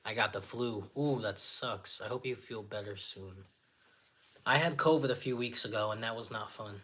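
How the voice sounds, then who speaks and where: Raspy nasal guy on a livestream, reads out comments and cheers ppl on, sniffly, bright voice. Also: on the low side, normal speed. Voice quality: naturally raspy. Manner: sniffles often; friendly, encouraging, chatty with filler words. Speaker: livestream host. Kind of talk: casual livestream chat, reading viewer comments aloud.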